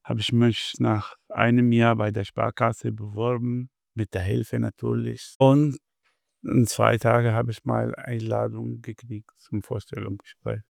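Man, gravelly, halting, searching for words, dry close sound. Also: medium pitch, flat delivery, darkish tone, no room sound. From Syria. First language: Arabic. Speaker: interview guest, bank customer adviser. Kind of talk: casual interview answers.

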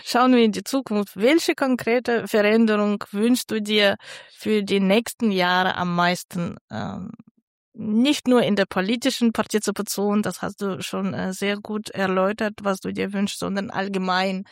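A woman's voice: mid-range voice, fairly even intonation, a little slow, dry recording. Encouraging woman host, dry sound. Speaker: podcast host. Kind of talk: interview questions.

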